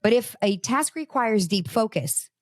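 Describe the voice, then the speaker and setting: A woman's voice: fairly high pitch, unhurried, no echo, studio quality. A woman from Brazil whose first language is Portuguese, hosting a casual, motivational talk and speaking straight to her listeners. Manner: animated, conversational, talks straight to listeners. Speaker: host.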